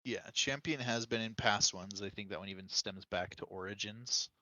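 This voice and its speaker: A man with a low voice talks games on a podcast. The tone is strikingly bright and forward despite the depth, with a very dry sound. He explains things in a casual, conversational way. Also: normal speed, no echo. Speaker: podcast co-host explaining game lore. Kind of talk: casual podcast discussion of a video game.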